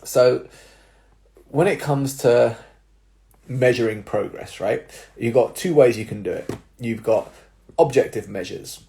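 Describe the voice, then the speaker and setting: A young man, a personal trainer, giving casual advice to viewers with a slightly nasal, stuffy-nosed voice, explaining things step by step with filler words and quick "right?" checks. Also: mid-range voice, gravelly, warm tone, a little hiss or hum. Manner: explanatory, with tag questions and fillers. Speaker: personal trainer and jiu-jitsu coach.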